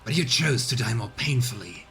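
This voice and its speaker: A man voicing a boisterous, malicious warrior from a game, rumbling and gloating. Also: animated, moderate pace, bright, forceful tone, faint music behind. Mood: gloating menace. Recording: clear background noise.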